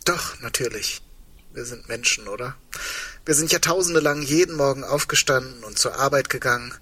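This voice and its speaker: A man's voice: mid-range voice, moderate pace, sharp tone, breathy edge. An actor playing a wry, reflective man facing impending doom in a naturalistic radio play, speaking in an understated, rambling way. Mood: quietly resigned.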